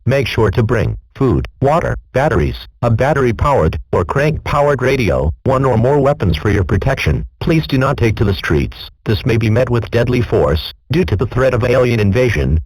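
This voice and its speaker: A man reading a scripted emergency broadcast announcement in a formal, measured, impersonal manner. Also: medium pitch, breathy edge, no room sound, poor audio.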